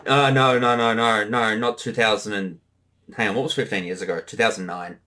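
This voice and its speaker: A show creator giving casual, chatty commentary in an animated way, often slipping into quoted voices. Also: medium pitch, fairly quick.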